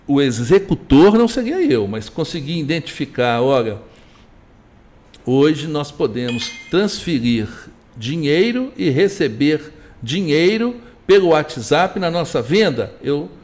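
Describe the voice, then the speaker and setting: A middle-aged Brazilian man with a rather high voice that rises and falls a lot. He talks casually as a guest, wandering through anecdotes and restarting his sentences. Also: a little slow. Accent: Brazilian Portuguese. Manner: animated, rambling anecdotes with many restarts. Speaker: guest, business owner. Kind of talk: casual conversation, storytelling.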